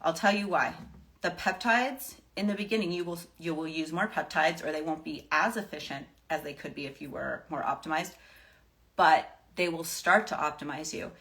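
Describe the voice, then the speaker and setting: Lower-pitched woman, casual wellness advice. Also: normal speed, fairly bright tone. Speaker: live stream host giving wellness advice. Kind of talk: casual off-the-cuff advice to followers.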